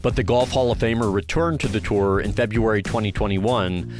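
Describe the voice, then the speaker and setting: A man narrating a sports documentary in a scripted, measured voice-over. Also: lowish voice. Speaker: host, narrator. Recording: voice-over with music bed.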